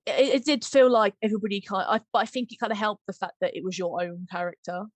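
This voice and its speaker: A woman's voice: medium pitch, quick pace, dry recording. A woman hosting a casual behind-the-scenes chat in a giggly manner. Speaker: podcast host, audio drama maker.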